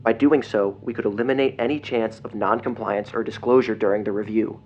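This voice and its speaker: A man playing an agitated investigator in an audio drama, speaking in a grave, halting, intense way over a phone. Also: on the low side, steady tone, darkish tone, soft background music, lightly acted. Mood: urgent revelation. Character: agitated male investigator relaying grim secret documents by phone. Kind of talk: audio drama acting, partly reading documents aloud. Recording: dull lo-fi phone call, clear noise.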